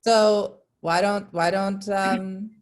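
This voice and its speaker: A woman's voice: medium pitch, fairly even intonation, drawn-out words. A woman narrating a staged reading and moderating its informal question session. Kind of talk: reading stage directions, then informal audience Q&A.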